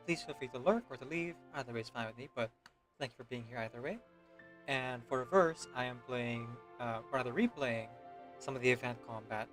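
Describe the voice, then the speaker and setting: A man giving casual live game stream commentary in a polite, easygoing manner. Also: mid-range voice. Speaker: video game streamer, hobby voice actor. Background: faint game music.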